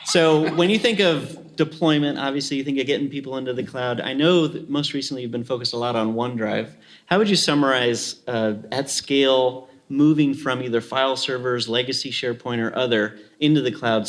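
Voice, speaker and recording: A man with a medium-pitched voice works as a co-host. He interviews panelists in a casual, conversational style. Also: a little fast. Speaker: show co-host.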